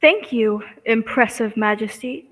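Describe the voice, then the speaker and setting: A woman's voice: on the high side, unhurried, a bit bright, rough recording. A woman acting a devoted, brave young Earth heroine in an amateur radio serial, with earnest melodrama. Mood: coy, flattering.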